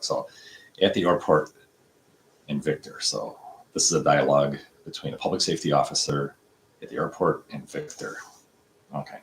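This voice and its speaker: A man in his fifties talks through a home-made practice lesson, slowly and haltingly, with plenty of fillers. His voice sits very low and crackles with fry. A faint hiss of background noise runs under him. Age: 50s. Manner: slow, halting explanations with many fillers, breaks words down piece by piece. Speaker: language learner teaching from a textbook dialogue. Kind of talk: casual self-recorded practice lesson.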